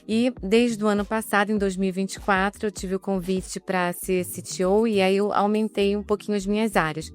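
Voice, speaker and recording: A woman in her fifties from Rio de Janeiro with a softened carioca accent, speaking as a guest on an executive panel in a measured, explanatory way with light humor. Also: mid-range voice, fairly even intonation, smooth, faint music behind. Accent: Carioca Portuguese, softened. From Rio de Janeiro, Brazil. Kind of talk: panel discussion.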